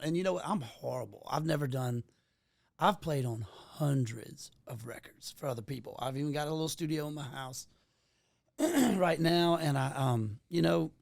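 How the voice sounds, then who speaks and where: A man's voice: medium pitch, gravelly, no room sound, studio quality. A man from Louisiana with a Southern US accent, chatting casually and ramblingly as a musician guest, often tossing in "man". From Louisiana, USA. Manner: folksy, rambling, peppers talk with "man". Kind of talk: casual podcast chat.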